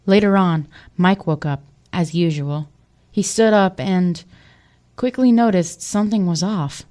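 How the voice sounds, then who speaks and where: A woman's voice: fairly low pitch, measured pace, mellow. A woman giving scripted narration for an audio drama.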